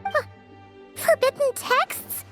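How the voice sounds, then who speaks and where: A woman's voice: slow, a bit bright, faint music behind. A woman voicing a tiny, curious, childlike fairy companion in an anime game. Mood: startled, uneasy. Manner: speaks of herself in third person, exclamatory.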